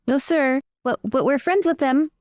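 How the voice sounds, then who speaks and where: A woman's voice: sits high, normal speed, dry recording. Polite woman in close, dry sound. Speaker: player in a tabletop role-playing podcast. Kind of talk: improvised in-character conversation.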